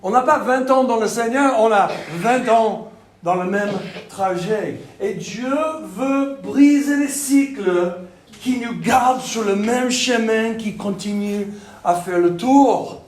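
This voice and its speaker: A man from Texas with a Texan accent, speaking French as a second language, preaching a sermon with jokes and halting fillers. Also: high-pitched. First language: English. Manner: joking, self-deprecating, halting with fillers. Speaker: pastor. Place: church hall. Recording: echoey church hall.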